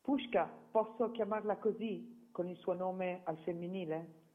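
A man's voice: sits high, fairly even intonation, a little slow. A man playing an interviewer, asking polite, probing questions. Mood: curious. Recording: tinny phone-like sound.

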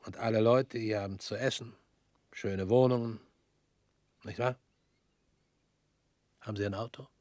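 An actor in a radio drama playing a stern male revolutionary who speaks in measured, pointed lines and often drops to a hushed whisper. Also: on the low side, fairly even intonation, dry recording, lightly acted. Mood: probing. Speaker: revolutionary visitor.

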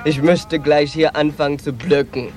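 An actor playing a sassy, world-weary transgender prostitute, flirtatious, candid and wistful, in a radio drama. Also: male voice, on the high side, fairly even intonation, moderate pace, darkish tone, soft background music, close to an everyday voice. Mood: candid, brazen. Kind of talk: 1980s German radio drama acting.

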